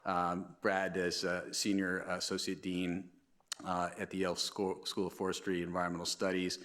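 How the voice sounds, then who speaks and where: A man's voice: deep voice, fairly bright tone. A man giving a formal introduction of speakers, hesitant with many fillers. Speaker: event introducer.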